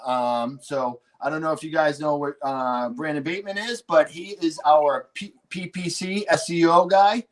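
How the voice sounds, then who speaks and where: A man's voice: a little high, fairly even intonation, normal speed, hardly any pauses. A man with a Boston accent, telling a story as a guest in a chatty, upbeat way with lots of fillers. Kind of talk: casual storytelling.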